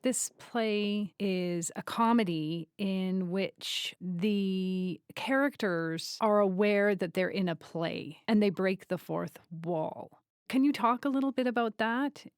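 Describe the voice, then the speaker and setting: Slow, smooth-voiced woman interviewer. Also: medium pitch, no room sound, clean studio sound. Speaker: interview host. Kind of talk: measured, prepared interview questions.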